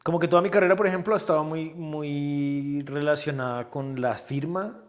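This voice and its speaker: A man, a guest artist talking thoughtfully in a casual interview, often pausing and restarting as he searches for words. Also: a little high. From Colombia.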